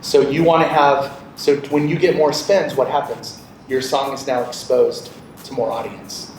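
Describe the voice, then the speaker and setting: A man's voice: mid-range voice, level tone, unhurried. A man in his late thirties giving an informal instructional talk to a group, explaining conversationally and checking in with short questions. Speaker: radio programmer, guest speaker. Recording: echoey room, distant mic, loud background noise.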